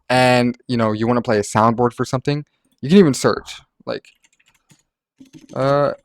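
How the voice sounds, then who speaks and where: A man's voice: mid-range voice, dry recording, studio quality. A man narrating a casual gaming tutorial in an informal, rambling way, like a content creator talking to followers.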